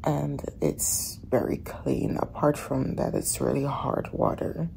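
A woman vlogging casually from a hotel room in the morning, her voice raspy and often dropping to a whisper. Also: a bass voice, level tone, takes it slowly, slight background noise. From Netherlands. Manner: soft, often whispering in the dark.

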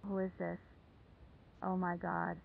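A man's voice: high voice, completely flat delivery, slow, creaky, heavily acted. A man voicing a frightened young woman, a former test participant pleading urgently in a horror game, over a lo-fi call. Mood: fearful. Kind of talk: scripted analog horror game voice. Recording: dull lo-fi phone call.

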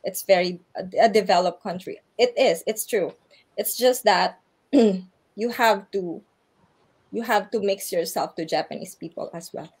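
A woman's voice: mid-range voice, measured pace, darkish tone, slightly rough. Filipino woman answering on a video call. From Philippines. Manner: earnest, advising, laughs often. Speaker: guest speaker, English teacher in Japan. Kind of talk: online orientation interview answers.

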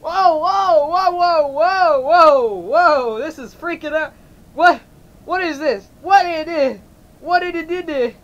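A woman's voice: sits high, a little slow, lo-fi sound, voice pushed up. A woman voicing a panicky, flustered young man who stammers and repeats words in an amateur comedy role-play. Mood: confused, alarmed. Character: panicky, stammering, flustered boyfriend hiding behind a mask.

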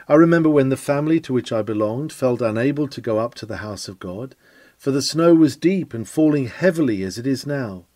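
A man's voice: medium pitch, darkish tone, no room sound. A man reading an old sermon aloud in a solemn, declamatory preaching style. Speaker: reader of historic sermons.

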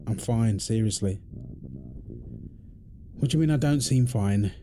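A man's voice: fairly low pitch, unhurried, warm tone, a little hiss or hum, close to an everyday voice. A man playing a tired, irritable firefighter husband in an intimate roleplay audio drama. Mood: weary, defensive. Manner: weary sighs, snappy, then apologetic.

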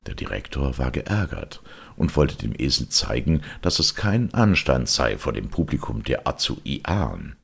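A man narrating a children's storybook as an expressive audiobook reader. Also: extremely low pitch, measured pace, creaky, slightly rough.

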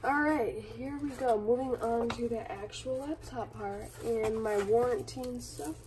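A young woman, hoarse and raspy from being sick, chatting casually and apologetically while unboxing on a bedroom floor. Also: fairly high pitch, a little slow, recorded from a distance, noisy background. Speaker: vlogger. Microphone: phone camera filming from above.

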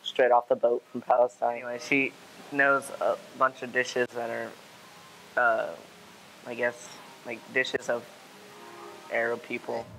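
A teenage boy interviewed at school, speaking in a halting, hesitant way. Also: medium pitch, completely flat delivery, vocal fry, fairly bright tone, a little hiss or hum, poor audio. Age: teens. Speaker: student interviewee.

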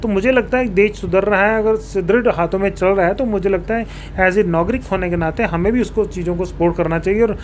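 A man's voice: high-pitched, a little fast, hardly any pauses. A man, answering formally as a local politician and explaining his points with English terms mixed in. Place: small indoor office, seated on a sofa. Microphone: handheld reporter mic held toward him. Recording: clear background room noise.

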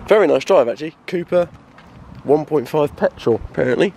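A slightly hoarse young man, chatting upbeat as vlog host from a car, filmed at arm's length. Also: on the high side, lively intonation, a little fast, dark tone. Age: young adult. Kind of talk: casual car vlog chat. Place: inside a car and a car dealership. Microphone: handheld camera at arm's length. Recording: noisy car and street sound. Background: engine and road noise.

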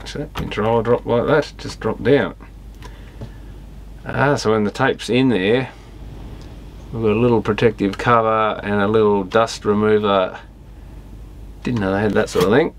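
A man's voice: fairly low pitch, steady tone, moderate pace, pauses often, a little hiss or hum. A man giving casual, unscripted commentary as he tinkers with electronics, thinking aloud in fragments and trailing off. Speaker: electronics repairer and video host.

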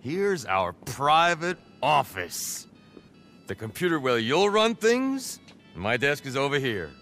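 An actor playing a flamboyant, exuberant nightclub manager and smooth-talking party lover in a video game, with a theatrical, gushing manner. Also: male voice, medium pitch, very animated, slow pace, fairly bright tone, faint music behind, heavily acted. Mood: smooth, sly. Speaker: club manager. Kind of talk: video game cutscene acting.

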